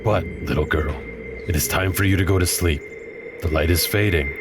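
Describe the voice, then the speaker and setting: A man's voice: deep voice, natural voice. An actor playing a wise elder man who teaches a young girl patiently, with music behind. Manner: slow, patient, instructive.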